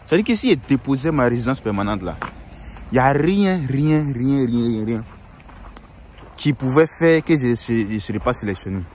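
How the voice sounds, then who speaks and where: A young man talks straight to the camera, earnest and insistent, repeating words and restarting as he gives advice. His voice sits at an ordinary middle pitch. Faint car and street noise hums behind him outdoors. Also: animated, moderate pace, a little mellow. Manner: earnest, repetitive, with many restarts and fillers. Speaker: vlogger giving advice. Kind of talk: casual advice monologue.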